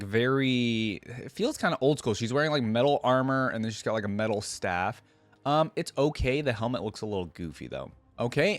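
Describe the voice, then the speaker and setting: A man's voice: lowish voice, no room sound. Casual man reacting and commenting, dry sound. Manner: informal, musing, thinking aloud. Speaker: YouTube reaction host.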